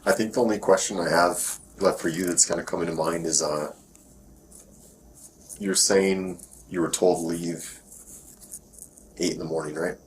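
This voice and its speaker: Male detective, low-pitched, reassuring and informal interviewer, faint noise on bodycam audio. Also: steady tone, normal speed, pauses often. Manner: low-key, reassuring, casual phrasing. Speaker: police detective. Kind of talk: informal questioning in a police interview. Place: police questioning, recorded on bodycam. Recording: noisy police bodycam audio.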